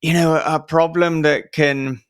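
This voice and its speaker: A man's voice: fairly high pitch, fairly even intonation, measured pace, clear, resonant tone, no room sound, clean studio sound. A man hosting an interview, talking in an earnest, reflective way as he offers thoughtful advice. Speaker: podcast interviewer and host.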